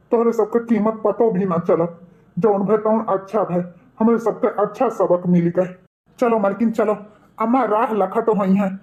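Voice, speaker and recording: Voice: mid-range voice, darkish tone, dull audio, close to an everyday voice. A voice actor playing a remorseful village husband and son in a cartoon, earnest and humbly pleading, with an Awadhi accent.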